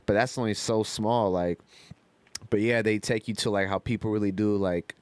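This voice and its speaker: A man's voice: fairly low pitch, steady tone, moderate pace, a little mellow, no echo. A man talking in a casual, rambling podcast style, full of slang and cursing. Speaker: podcast co-host.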